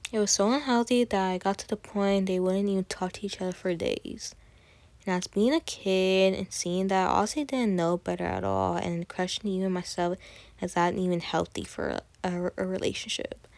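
A woman's voice: mid-range voice, fairly even intonation, normal speed, gravelly, darkish tone, no room sound. A woman, hosting her own podcast in a casual, chatty monologue full of fillers and restarts. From Texas, USA. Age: 20s. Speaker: podcast host.

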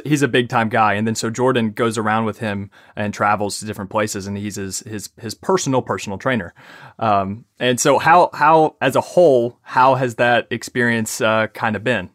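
A man's voice: on the low side, fairly bright tone, no room sound, studio quality. A man hosting a podcast, talking informally and agreeably as he interviews a guest in a casual, conversational way.

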